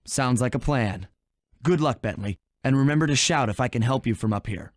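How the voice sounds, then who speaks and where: A man's voice: mid-range voice, expressive, brisk, dry recording, clean studio sound, lightly acted. A man voicing a young, confident raccoon thief in a video game, with an easygoing, wry manner. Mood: planning. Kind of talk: video game character voice acting.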